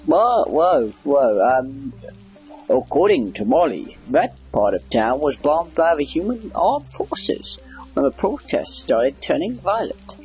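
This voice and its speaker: A man's voice: fairly high pitch, very animated, takes it slowly, darkish tone, breathy edge, faint music behind, rough recording. A man giving casual, rambling video game commentary, wry and chatty as he reacts aloud. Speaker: gamer doing a video game playthrough.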